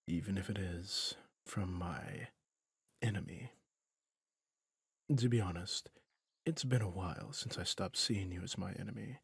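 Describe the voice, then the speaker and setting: Casting: male actor as a resigned, tender villain for an ASMR fantasy drama; low, gravelly, breathy near-whisper, brooding and confiding. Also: no room sound, clean studio sound, exaggerated voice. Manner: slow, brooding, confiding with pauses. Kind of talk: intimate ASMR roleplay drama.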